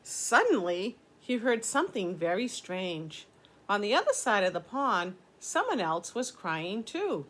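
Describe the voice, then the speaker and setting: A woman's voice: medium pitch, big pitch swings, a little slow, fairly bright tone. An older woman reading a children's story aloud, explaining things simply as a teacher would to young listeners.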